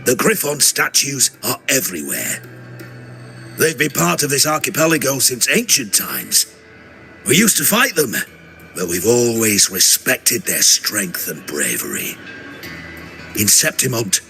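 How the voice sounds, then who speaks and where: A man playing a proud, reverent warrior in a video game, telling his land's lore in a measured, earnest way. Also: mid-range voice, gravelly, pushed and bright, full character voice.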